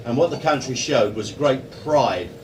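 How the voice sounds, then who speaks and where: A man's voice: mid-range voice, animated, moderate pace, a crowd in the background. Distant man in an echoey room.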